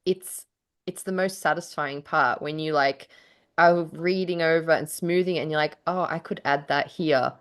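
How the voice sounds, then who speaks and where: A woman in a casual chat between writers, telling stories in an effusive, animated way with the odd casual swear word. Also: sits low, normal speed.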